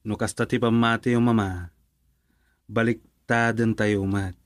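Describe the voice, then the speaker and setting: A man's voice: on the low side, steady tone, unhurried, dry recording, clean studio sound, close to an everyday voice. A young man with an Ilocano accent acting an earnest, pleading grown son in a radio drama. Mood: insistent.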